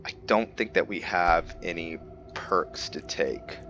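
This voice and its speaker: A man's voice: low voice, takes it slowly, a bit bright, soft background music. A man giving casual, improvised game commentary, musing aloud to himself in a tentative way. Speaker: gaming video host. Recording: muffled, with clear background noise.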